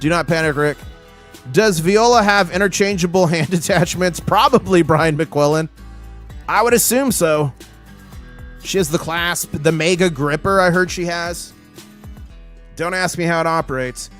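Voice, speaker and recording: Hoarse, high-voiced hype host. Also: male voice, unhurried, pauses often, faint music behind. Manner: excitable, hype-man energy. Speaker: livestream host. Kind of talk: casual livestream chat.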